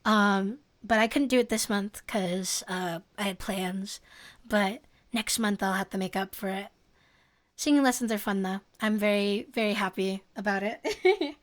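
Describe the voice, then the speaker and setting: A woman's voice: mid-range voice, a little slow. A young woman streamer chatting casually with her viewers and giggling often. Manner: giggly, chatty, reads chat aloud.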